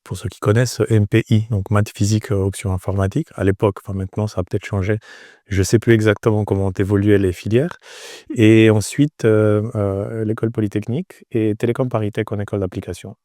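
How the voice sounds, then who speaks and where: A man in his forties from Romania gives conversational interview answers. His voice is low and soft-edged, recorded close and dry. He often pauses and repeats words as he searches for phrasing. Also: level tone, dry recording. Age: 40s. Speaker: entrepreneur, interview guest.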